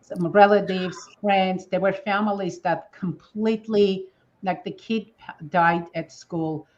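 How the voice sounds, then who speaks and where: A woman's voice: medium pitch, steady tone, a little slow, a little mellow, lo-fi sound. A woman from Armenia in her fifties, a guest telling her story in earnest, broken-up sentences. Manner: earnest, grateful, speaks in fragments. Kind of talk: conversational storytelling.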